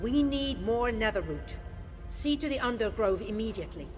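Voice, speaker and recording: A woman's voice: fairly high pitch, lively intonation, moderate pace, natural voice. An actress playing a commanding female werewolf warlord in a fantasy video game, imperiously giving orders. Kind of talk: scripted video game dialogue. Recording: long reverb, game music behind.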